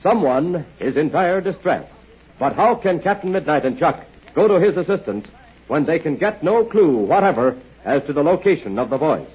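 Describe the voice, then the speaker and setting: Lively 1940s radio announcer, man. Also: fairly high pitch, moderate pace, slightly rough. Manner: lively, salesmanlike. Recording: dull old radio transcription.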